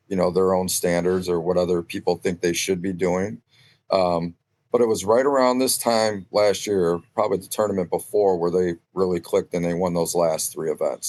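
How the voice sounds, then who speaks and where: Low-voiced man in casual sports chat. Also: fairly even intonation, moderate pace, a little mellow. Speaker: podcast guest, cornhole player.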